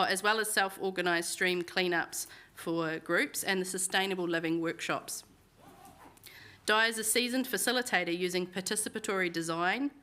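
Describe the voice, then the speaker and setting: Woman host with a low, bright voice, reading a speaker's introduction. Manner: reading a bio with ums and small slips. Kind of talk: introduction at an event. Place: university meeting room.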